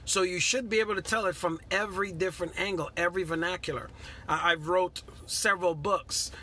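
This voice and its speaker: A man's voice: high-pitched, steady tone, fairly quick, sharp tone, a little hiss or hum. A middle-aged man from Guyana giving a casual motivational talk on a live stream, earnest and repetitive, greeting viewers by name.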